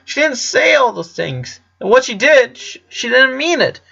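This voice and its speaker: A guilt-ridden little brother of eleven, played by a man, pitched high. He pleads earnestly and haltingly in a storybook drama, with slightly dull sound. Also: sing-song, creaky, bright, forceful tone, heavily acted. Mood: upset, guilty.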